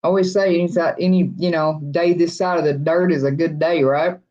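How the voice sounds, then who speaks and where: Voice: mid-range voice, steady tone, normal speed, mellow. Chatty host on dull, lo-fi audio. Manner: rambling, friendly, chuckles often, many restarts. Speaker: RV-living livestream host. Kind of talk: casual live chat, reading viewer comments aloud.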